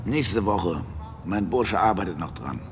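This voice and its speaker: An actor playing a domineering rich philanderer in a radio play, blunt and commanding. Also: male voice, deep voice, expressive, unhurried, slight background noise. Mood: condescending.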